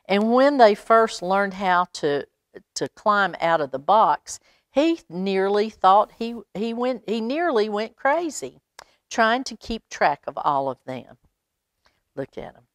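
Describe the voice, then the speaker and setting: A woman reads a children's picture book aloud, adding expressive, chatty asides for young listeners. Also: mid-range voice, slow pace, dry recording, clean studio sound. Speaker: library storytime reader.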